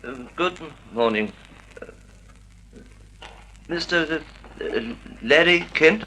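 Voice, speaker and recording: An actor playing a timid, polite, half-blind older husband who hesitates and stammers, in an old-time radio drama. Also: male voice, on the high side, expressive, slow, pushed and bright, slight background noise, heavily acted, rough recording. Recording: vintage radio drama recording.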